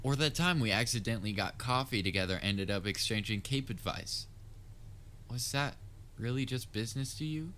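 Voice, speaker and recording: Guy doing a lowish voiced supervillain for a comfort roleplay, theatrical but caring, kinda halting and wry, noisy ambience behind him. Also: bright, forceful tone. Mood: hurt, earnest. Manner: earnest, halting, with wry banter. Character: theatrical supervillain, soft-hearted, anxiously fond of his hero nemesis. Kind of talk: comfort roleplay audio acting addressed to listener.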